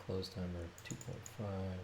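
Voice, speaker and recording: Male game developer, halting and gravelly, muttering through live coding in a roomy, noisy space with keyboard clicks. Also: low voice, fairly even intonation. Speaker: game developer, stream host. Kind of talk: thinking aloud while live coding. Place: indoors at a computer. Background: loud noise, typing, mouse clicks.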